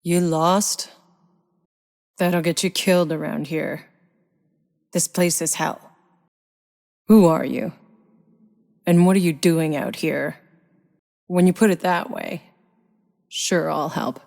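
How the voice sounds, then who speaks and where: A woman's voice: lowish voice, takes it slowly, vocal fry, long pauses, darkish tone, big-room sound. An actress voicing a tough, sardonic female sci-fi soldier in a video game, with a gritty, wry delivery. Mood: dry, wry. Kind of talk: video game voice lines, dramatic acting.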